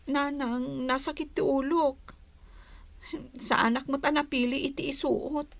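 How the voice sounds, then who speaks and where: A woman's voice: high-pitched, fairly even intonation, a little slow, no echo. A woman playing a plaintive young bride unhappy with an arranged marriage, with an Ilocano accent, in a comedy drama. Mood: pained, resentful. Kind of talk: Filipino comedy-drama dialogue.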